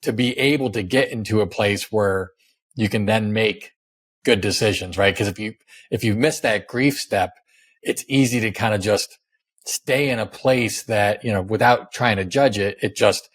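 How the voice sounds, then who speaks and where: A man hosting a podcast interview, measured and reflective, often checking in with a questioning "right?". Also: on the low side, slightly rough, no room sound. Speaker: podcast host.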